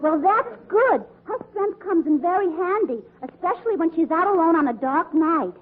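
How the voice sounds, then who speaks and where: A woman's voice: very high-pitched, lively intonation, moderate pace, a faint crowd. A woman playing a man-crazy, scatterbrained, unflappable young dame with an airy, matter-of-fact manner in radio comedy. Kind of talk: 1940s radio comedy acting. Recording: tinny vintage radio broadcast.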